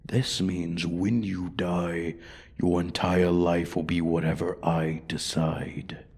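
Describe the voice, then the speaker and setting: A man voicing an ancient, arrogant dragon in a fantasy ASMR roleplay, haughty and deliberate, with a breathy voice that often drops to a whisper. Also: sits low, little pitch movement, a little mellow, full character voice. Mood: scornful. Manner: haughty, deliberate, coldly threatening. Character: ancient, menacing, arrogant male dragon guarding his hoard. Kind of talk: slow, theatrical fantasy ASMR roleplay monologue.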